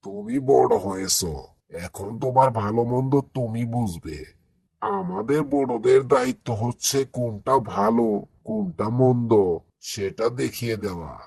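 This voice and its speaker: A man voicing a wise, grandfatherly elderly village uncle in a moral cartoon, husky and measured, with a Bangladeshi accent. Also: medium pitch, no echo, heavily acted. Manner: measured, instructive, gently questioning. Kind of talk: cartoon moral story dialogue.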